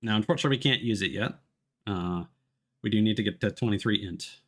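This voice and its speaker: A man's voice: on the low side, fast, a little hiss or hum. A man giving casual live commentary on a video game as he chats with his viewers.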